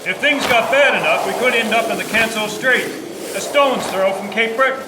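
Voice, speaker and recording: A man's voice: very high voice, normal speed. A man playing an anxious, determined ship's captain who speaks formally and insistently in a historical radio drama. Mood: stern. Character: anxious, determined steamship captain, brisk and commanding. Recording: noisy, echoing recording with clicks.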